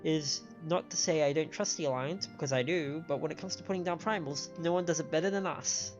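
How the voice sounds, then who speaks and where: An androgynous-sounding streamer at a middle pitch reads game dialogue aloud and comments on it. The delivery trips over written lines now and then. The sound is slightly dull, with game music underneath. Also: brisk. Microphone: microphone on a stand.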